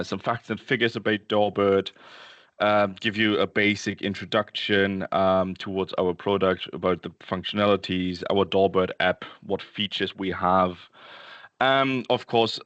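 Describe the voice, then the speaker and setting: A man giving an informal product presentation as a company representative, speaking haltingly with frequent filler sounds. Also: low voice, a bit bright, no echo, highs cut off. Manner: halting, hesitant delivery with many ums and uhs. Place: indoors, Berlin office.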